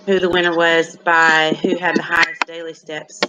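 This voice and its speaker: A young woman from Alabama, a student giving a class presentation with a halting delivery and many "um" pauses. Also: low voice, little pitch movement, heavy background noise. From Athens, Alabama, USA. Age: young adult.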